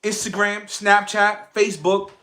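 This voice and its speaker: Man, high pitch, bright forceful tone, emphatic livestream monologue, declamatory, repeated phrases. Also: flat delivery, a little slow. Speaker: social media content creator on a livestream. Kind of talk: impassioned livestream monologue to followers.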